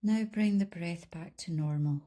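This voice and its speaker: A woman leading a guided body scan meditation in a calm, soothing, unhurried manner, leaving long pauses between instructions. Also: lowish voice. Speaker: meditation guide, life coach.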